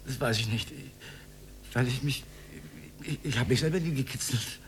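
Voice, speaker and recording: A man's voice: medium pitch, moderate pace, fairly bright tone, lightly acted. An actor playing an earnest, pedantic, lonely old man in a radio drama, speaking formally. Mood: awkward. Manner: solemn, formal, wordy. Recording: faint background noise, old radio recording.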